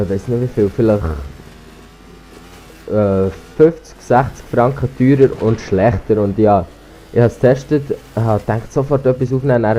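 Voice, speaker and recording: A man's voice: lowish voice, mellow, low-quality recording. A teenage boy with a Swiss German accent, rambling casually over gameplay through a cheap headset while slightly sick with a cold. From Switzerland. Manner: rambling, hesitant, full of restarts and ums. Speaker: gaming YouTuber. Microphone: cheap gaming headset.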